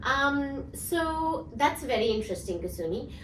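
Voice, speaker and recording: A woman's voice: sits high, lively intonation, unhurried, slight background noise. A woman hosting a casual company interview, encouraging her guest with frequent "yeah". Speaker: host, company CEO. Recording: echoey room, mic at a distance.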